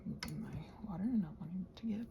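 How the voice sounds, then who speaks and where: A woman chatting casually while crafting, soft and often dropping to a near-whisper, hesitant and thinking aloud, with playful little exclamations. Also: lowish voice, fast talker, muffled recording, a little hiss or hum. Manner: hesitant, thinking aloud, trailing off mid-sentence. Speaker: host of a crafting ramble channel. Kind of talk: casual rambling craft chat, ASMR-like.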